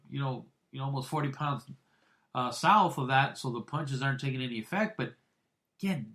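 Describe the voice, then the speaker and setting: A man hosting a boxing podcast, rambling with many restarts and crude, blunt remarks, swinging between loud excited bursts and low mutters. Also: vocal fry. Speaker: podcast host. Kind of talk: casual boxing podcast commentary.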